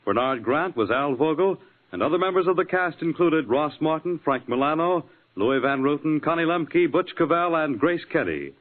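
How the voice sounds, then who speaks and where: An American man announcing from a script in 1940s network radio style. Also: on the high side. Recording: narrow tinny vintage radio broadcast.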